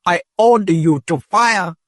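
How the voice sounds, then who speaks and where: Man voicing a frightened official, shrill, urgent, raised pitch, dry. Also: a little slow, gravelly, dark tone, no room sound. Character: nervous, frightened male official.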